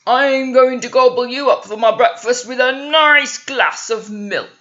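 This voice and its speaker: A woman voicing a big, hungry, greedy male troll in a children's story, loud and roaring. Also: on the high side, unhurried, dull audio. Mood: threatening.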